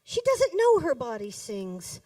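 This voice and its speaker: A woman reading her own poems live in a cafe, with deliberate, dramatic phrasing, pauses and emphatic stresses. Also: sits high, huge pitch swings, warm tone. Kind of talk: live poetry reading to an audience. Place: indoors in a cafe. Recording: roomy echo, distant microphone.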